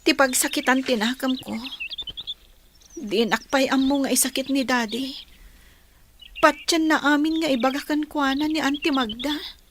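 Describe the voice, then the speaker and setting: A woman's voice: sits high, steady tone, moderate pace, long pauses, a bit bright. A woman with an Ilocano Filipino accent playing an earnest, wounded teenage daughter in a radio drama. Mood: sad, earnest. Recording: clear background noise with birdsong.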